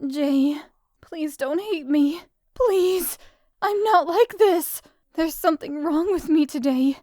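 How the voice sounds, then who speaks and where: A woman's voice: very high voice, slow, mellow, no echo, studio quality. A woman voicing a shy, anxious teenage schoolgirl in an anime visual novel fan dub, hesitant, stammering and polite. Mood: distraught, pleading.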